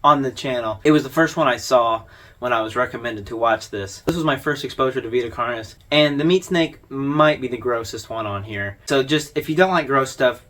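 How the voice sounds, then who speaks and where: Male video host, mid-pitched voice, informal commentary, conversational, dryly wry explainer delivery. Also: brisk, fairly bright tone.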